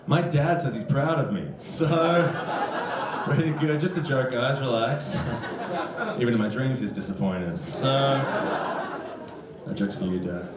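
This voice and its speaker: A man's voice: mid-range voice, lively intonation, takes it slowly, pauses often, echoey room, heavy background noise. A young Australian man doing a live stand-up comedy set, joking with the audience in a dry, conversational manner. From Sydney, Australia. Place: on stage in a comedy club. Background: crowd laughter.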